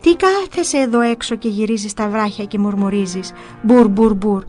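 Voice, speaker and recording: A woman's voice: medium pitch, warm tone, faint music behind, close to an everyday voice. A woman voicing a tormented, blasphemous demon that scolds and nags in exclamations, in a dramatized story. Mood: exasperated, scolding. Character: shrieking demon possessing a monk, female-voiced, blasphemous, tormented, scolding.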